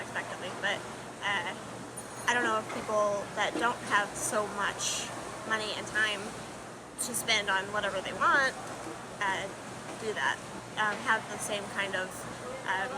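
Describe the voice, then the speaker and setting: A woman's voice: medium pitch, heavy background noise. A young woman answering interview questions in a hesitant, reflective way, with many ums and uhs. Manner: hesitant, filler-heavy, reflective. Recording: music playing behind.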